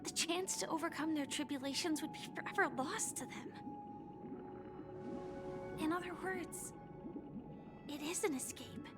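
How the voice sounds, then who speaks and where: A woman voicing an earnest, thoughtful young game heroine, soft and deliberate in her speech. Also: high-pitched. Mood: earnest challenge. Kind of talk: dramatic video game dialogue. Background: prominent game music.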